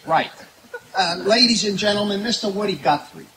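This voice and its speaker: A man's voice: sits high, moderate pace, noisy background. A man playing a dry, patient straight man in 1940s radio comedy, hesitant and bemused. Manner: hesitant, bemused, repeats things back. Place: outdoor night stage in woods.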